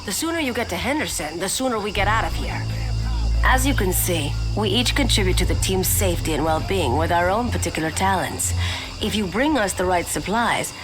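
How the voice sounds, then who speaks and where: An actress playing a pragmatic, no-nonsense survivor, direct and businesslike, in a video game cutscene. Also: female voice, mid-range voice, expressive, a little fast, music in the background, natural voice, rough recording. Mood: firm.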